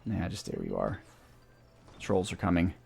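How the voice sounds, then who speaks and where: A man's voice: deep voice, huge pitch swings, moderate pace, creaky, a little mellow. A man hosting a gaming channel, talking through a strategy game and thinking aloud as he goes. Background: video game music.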